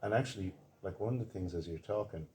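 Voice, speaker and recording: Deep-voiced male interviewer, mellow tone. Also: a little hiss or hum. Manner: affirming, agreeable, quick to chime in. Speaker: conference organiser and interviewer. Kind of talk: casual interview chat.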